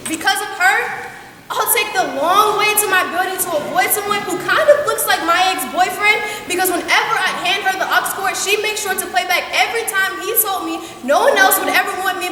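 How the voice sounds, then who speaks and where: A woman's voice: very high-pitched, sharp tone. A young woman performing slam poetry as a student, with a dramatic, confessional delivery. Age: young adult. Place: large school assembly hall. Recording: echoey hall from far off, faint noise.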